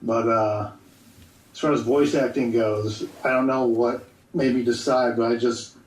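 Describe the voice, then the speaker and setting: A man being interviewed as a guest voice actor, easygoing and thoughtful, often pausing to think. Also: mid-range voice, steady tone. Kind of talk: casual podcast interview. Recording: echoey room, distant from the mic.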